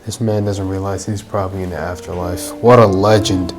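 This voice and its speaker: A woman's voice: a bass voice, steady tone, a little fast, music in the background. A woman reacting casually to a video game as she streams, with informal, wry asides. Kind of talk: casual live gameplay reaction.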